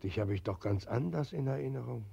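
An actor playing an old, tearful god whom nobody believes in anymore, in a 1940s radio play. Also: male voice, medium pitch, animated, fairly quick, gravelly, warm tone, husky, exaggerated voice. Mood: bitter. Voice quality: tearful, lamenting. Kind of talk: 1940s radio drama acting. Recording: dull lo-fi 1940s radio recording.

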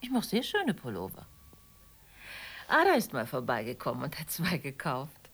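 An actress playing a calm, frank middle-aged mother who reasons matter-of-factly with a friend in a naturalistic radio drama. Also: low-pitched, normal speed, husky, heavily acted.

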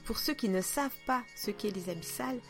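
A woman's voice: mid-range voice, animated, fairly quick, soft background music. A woman hosting a podcast in a casual, informal and playful conversational style. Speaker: podcast host.

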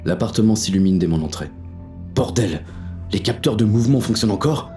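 An actor playing a wary police inspector on a burglary, narrating in a terse, tense inner monologue for an audio drama. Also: male voice, fairly low pitch, lively intonation, brisk, mellow, faint music behind, lightly acted. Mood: alarmed.

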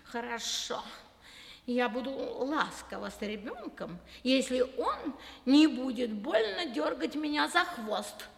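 Slow, high, creaky cat. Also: female voice, big pitch swings, a little hiss or hum, full character voice. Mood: grudging, independent. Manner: meows between phrases, slow deliberate delivery. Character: aloof, independent female cat, coolly bargaining. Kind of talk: audio play fairy-tale acting. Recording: long hall-like reverb.

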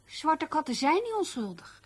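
An actress playing a nagging, sceptical middle-aged housewife in a naturalistic radio play, needling and reproachful in everyday speech. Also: female voice, high-pitched, very animated, normal speed, a little hiss or hum. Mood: worried, irritated.

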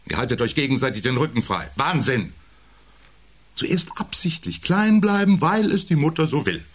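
An actor playing a domineering, self-important husband around forty who needles and belittles in an intimate German radio play. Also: male voice, medium pitch, big pitch swings, normal speed. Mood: contemptuous, agitated. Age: 40s. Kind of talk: intimate radio drama dialogue.

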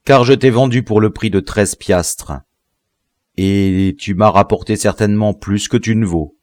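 A man's voice: on the low side, unhurried, darkish tone, no room sound, natural voice. Man playing a proud, defiant condemned carpenter. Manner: bold, unflinching, taunting.

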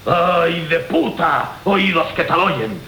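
A man's voice: on the high side, animated, slow pace, bright, forceful tone, exaggerated voice. A man playing a proud, blustering captain in a theatrical classical radio comedy. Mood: outraged. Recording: roomy, hissy 1980 radio broadcast.

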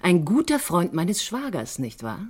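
A haunted woman in a radio crime play. Her voice is very low and husky. She confides with grave intensity, placing each phrase deliberately. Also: wildly swooping pitch, a little mellow, heavily acted. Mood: grave, urgent. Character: troubled stage actress, grieving sister convinced of murder. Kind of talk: German radio crime drama acting.

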